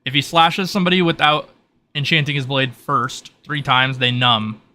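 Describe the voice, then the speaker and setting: A man's voice: mid-range voice, moderate pace, sharp tone. A man acting as game master, casually explaining tabletop rules over a noisy home mic.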